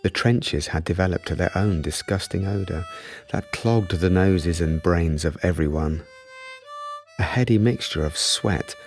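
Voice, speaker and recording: Male narrator, low pitch, gravelly creak, scripted storytelling, radio drama. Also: darkish tone.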